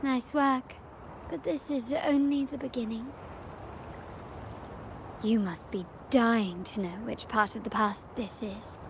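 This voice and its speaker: Slow, taunting phantom villainess, higher voice. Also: female voice, fairly even intonation, background noise. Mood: menacing glee. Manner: theatrical, mocking, slow and deliberate. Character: taunting, seductive woman, a sinister phantom born of someone's mind. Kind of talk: scripted game villain dialogue. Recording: music behind the voice.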